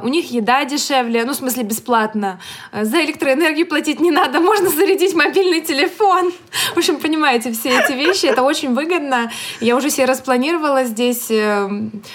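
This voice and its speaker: A woman with a high voice co-hosting a podcast. She tells casual, funny stories at her own expense, rambling along in a lighthearted manner. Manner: self-deprecating, rambling, lighthearted. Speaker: podcast co-host. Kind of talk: casual humorous storytelling.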